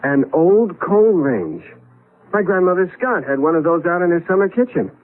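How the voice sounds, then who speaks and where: An actor with an American accent playing a wry, easily flustered lawyer husband in a 1970s radio drama. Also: male voice, high voice, very animated, normal speed, narrow, tinny audio. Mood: flustered, indignant. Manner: wry, exasperated, stammers when alarmed. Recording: band-limited old radio broadcast.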